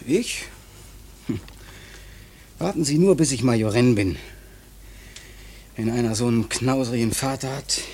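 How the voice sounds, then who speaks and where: A man's voice: mid-range voice, a little slow, pauses often, darkish tone, slight background noise, close to an everyday voice. A man acting in a radio play as a cocky, bitter young painter, boastful and insistent.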